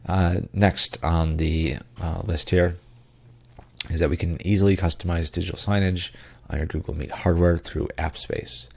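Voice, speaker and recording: Low, gravelly congested man. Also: level tone, moderate pace. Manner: many ums and uhs, loose rambling asides. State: congested and tired. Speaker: podcast co-host. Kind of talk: casual tech news rundown.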